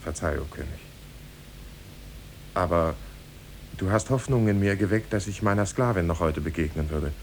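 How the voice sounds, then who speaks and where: An actor playing a humble, lovesick young merchant's son, earnest and deferential, in a 1950s German radio play. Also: male voice, normal speed, gravelly, long pauses. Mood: humble, restrained. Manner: deferential, solemn, fervent. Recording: 1950s radio recording with faint rumble.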